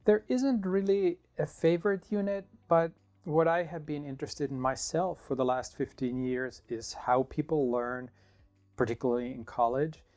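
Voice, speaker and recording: A middle-aged man from Finland, a professor answering interview questions in a thoughtful, measured way with small restarts. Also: a little high, darkish tone, soft background music. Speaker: political science professor.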